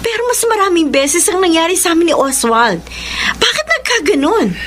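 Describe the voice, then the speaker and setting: Flustered pushy young mom in tagalog radio soap, high voice swinging all over, very emphatic. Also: female voice, sing-song, normal speed, a bit bright, slight background noise, full character voice. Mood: defensive. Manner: exclamatory, stammering, emphatic. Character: Debbie, flustered, pushy young mother hiding an affair. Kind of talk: Tagalog radio melodrama acting.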